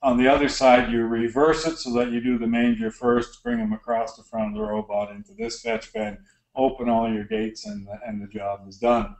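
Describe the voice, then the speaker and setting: A middle-aged man with a Canadian accent gives a practical slide lecture on barn design, relaxed and full of fillers and restarts. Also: medium pitch, moderate pace, vocal fry. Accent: Canadian English. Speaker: dairy barn design consultant. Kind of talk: lecture narration over slides. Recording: roomy echo.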